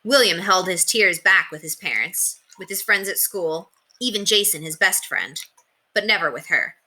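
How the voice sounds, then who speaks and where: Bright, expressive woman storyteller, lowish voice. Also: normal speed, poor audio. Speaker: storyteller reading aloud to children. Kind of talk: expressive scripted narration of a children's book.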